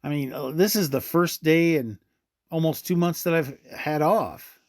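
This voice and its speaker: A man's voice: on the high side, a little slow, a little mellow. An actor playing a weary, troubled man in an audio drama, talking in a conversational, muttering way. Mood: weary, chatty. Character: weary, troubled male searcher who lives with snake. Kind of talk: radio drama acting.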